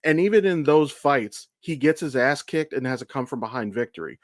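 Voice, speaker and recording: A man with a medium-pitched voice talks as a podcast co-host. His delivery is casual and blunt, full of enthusiasm and swearing. The sound is close and dry. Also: lively intonation, fairly quick, no room sound. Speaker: podcast co-host and amateur voice actor.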